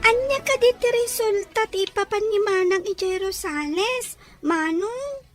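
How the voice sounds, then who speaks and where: A woman's voice: extremely high pitch, a little slow, faint music behind. A woman with an Ilocano accent playing a hurt, pleading young daughter in a radio drama, with a plaintive, emotional delivery. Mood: wistful, worried.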